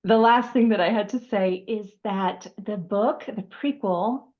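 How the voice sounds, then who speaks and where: A woman hosting a conversational interview, speaking in a polite, measured, appreciative way. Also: medium pitch, highs cut off. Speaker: host, author.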